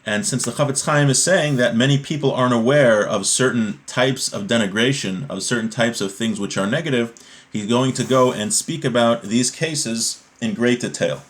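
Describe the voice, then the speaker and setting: A man's voice: mid-range voice, moderate pace. A man giving an informal religious lesson, reading a text aloud and explaining it step by step, often checking that listeners follow. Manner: explanatory, checks understanding with rhetorical tags like "right?".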